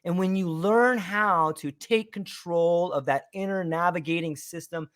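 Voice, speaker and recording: Enthusiastic podcast host. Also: male voice, high voice, a little slow, fairly bright tone. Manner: enthusiastic, showman-like delivery. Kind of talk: podcast interview.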